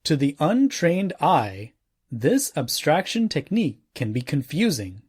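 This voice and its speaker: A man reading a scripted non-fiction audiobook in a formal, measured way with deliberate phrasing. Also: fairly high pitch, big pitch swings, a little mellow, husky. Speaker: audiobook narrator.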